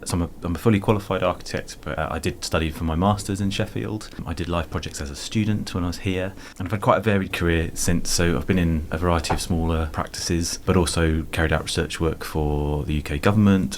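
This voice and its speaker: A man's voice: deep voice, fairly even intonation, quick pace, hardly any pauses, slight background noise. A man with a southern English accent chatting as an interview guest in a studio, in a discursive, hedging way. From southern England. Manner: discursive, hedging with kind of and you know. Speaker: guest, architect and lecturer. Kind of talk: casual interview conversation.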